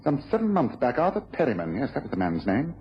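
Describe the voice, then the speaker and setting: An actor playing a courteous, astute British gentleman detective in an old radio drama, precise and given to musing aloud. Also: mid-range voice, huge pitch swings, fast. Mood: musing, intrigued. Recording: muffled vintage radio recording with hiss.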